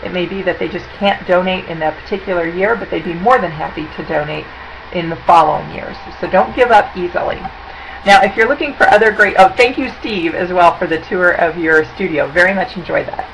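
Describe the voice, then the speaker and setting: A woman's voice: fairly low pitch, a little fast, gravelly, fairly bright tone, slight background noise, poor audio. A woman in her forties, speaking to a webcam in a chatty, upbeat, conversational way as she presents tips over slides. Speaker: auction consultant presenting tips. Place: indoor room. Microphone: webcam at desk.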